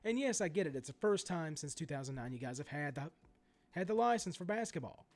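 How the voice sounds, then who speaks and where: A man's voice: fairly high pitch, lively intonation, fairly quick, a little mellow. A middle-aged man with a sore throat and stuffy nose, ranting indignantly as host of a sports card channel. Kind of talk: ranting commentary. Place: home room.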